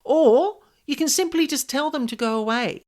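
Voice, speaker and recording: A woman's voice: high voice, moderate pace, darkish tone. A woman hosting a podcast, telling personal stories and giving advice in an informal, emphatic monologue, repeating key words for stress. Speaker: podcast host, paranormal specialist.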